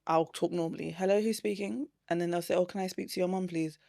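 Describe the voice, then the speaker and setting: Woman guest, low-ish voice, measured. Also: steady tone, creaky, dark tone, dry recording, studio quality. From Britain. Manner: measured, explains with examples, laughs often. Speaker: podcast guest. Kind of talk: casual chat.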